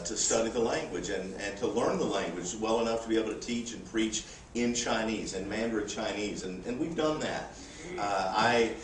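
A man's voice: on the low side, roomy sound, recorded from a distance, slight background noise, rough recording. A missionary in his forties, giving a folksy, humorous church report with frequent "uh" fillers. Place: church sanctuary.

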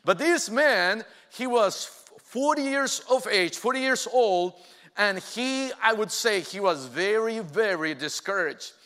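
A man, preaching a sermon in an earnest way, often pausing with "uh". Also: sits high, animated, bright, forceful tone, clean tone. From Mexico. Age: 40s. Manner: earnest, hesitant with frequent "uh" fillers. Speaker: pastor. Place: church sanctuary. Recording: long reverb from a large church hall.

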